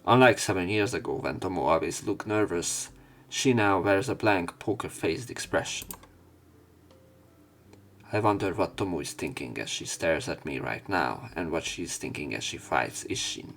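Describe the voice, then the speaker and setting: A man's voice: low voice, animated, moderate pace, creaky, a bit bright. A man reading visual novel narration aloud as a let's play commentator, adding casual, wry, sweary asides.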